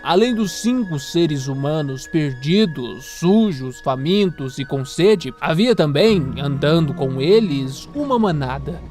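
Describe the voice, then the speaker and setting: Over clearly present music, a Brazilian man reads a scripted audio drama as its narrator. His voice sits a little high for a man. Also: expressive, takes it slowly, few pauses, darkish tone. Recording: music playing behind the voice.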